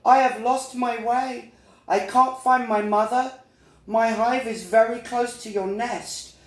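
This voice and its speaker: Man as a little girl bee, storybook character, high-pitched, earnest, polite, slow, roomy sound. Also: steady tone. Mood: lost, pleading. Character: small young female bee, earnest, polite, frightened.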